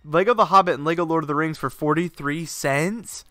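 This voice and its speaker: A young man with a bright, crisp voice and a stuffed-up, sinusy tone chats loosely on a live stream, rambling between topics and swearing as he gets excited. The sound is close and dry, with faint game music underneath. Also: on the high side, a little fast, no echo. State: congested, sinusy. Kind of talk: casual live-stream chat. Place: home garage setup.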